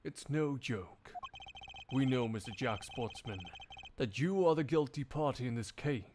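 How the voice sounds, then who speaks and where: A man's voice: medium pitch, noisy background, voice pushed down, natural voice. Man doing a stern, formal prosecutor. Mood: cold accusing. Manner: formal, deliberate, severe. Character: stern, composed male prosecutor, formal and accusing.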